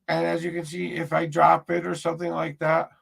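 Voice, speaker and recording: A man with a gravelly voice, hosting his own paranormal show, talking casually to camera in a rambling, plainspoken way. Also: fairly high pitch, completely flat delivery, a little fast, a bit bright. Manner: rambling, plainspoken, restarts sentences. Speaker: paranormal investigator host.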